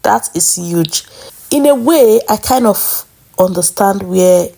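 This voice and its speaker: Young adult woman from Nigeria, casual vlog host, chatty and direct to camera, mellow timbre. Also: mid-range voice, lively intonation, slow pace, creaky. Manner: chatty, addresses viewers as guys.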